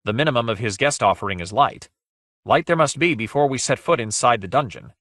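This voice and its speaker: A man's voice: mid-range voice, lively intonation, a little fast, fairly bright tone, dry recording, clean studio sound. A man acting a bold, defiant male adventurer in a fantasy story, speaking in a proud, formal, archaic and declamatory way. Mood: challenging, commanding.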